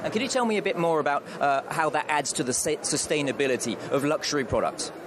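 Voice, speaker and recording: A man's voice: on the high side, animated, fast talker. A man interviewing a guest at an expo stand, hesitant and full of "uh" fillers. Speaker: event interviewer. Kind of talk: on-site interview. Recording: clear street noise behind.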